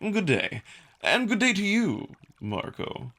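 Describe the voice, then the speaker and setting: A man voicing a courteous, smiling jackal diplomat in a visual novel, speaking in a polite, formal, measured way. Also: sits high, huge pitch swings, sharp tone, pitched above the performer's own voice, heavily acted. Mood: politely cool.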